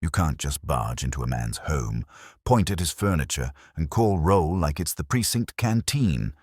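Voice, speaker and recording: A man as a cocky, deep-voiced private eye. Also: lively intonation, normal speed, darkish tone, breathy edge, no echo, studio quality, heavily acted. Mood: breezy, defiant. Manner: flippant, sardonic. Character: wisecracking male private eye's assistant, cocky and breezy.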